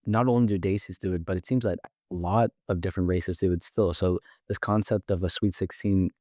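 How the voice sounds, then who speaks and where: A man's voice: sits low, no echo, clean studio sound. A teenage boy co-hosting a student podcast, chatting casually with plenty of fillers like "like" and "you know". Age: teens. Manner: relaxed, with frequent fillers. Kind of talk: casual conversational podcast chat.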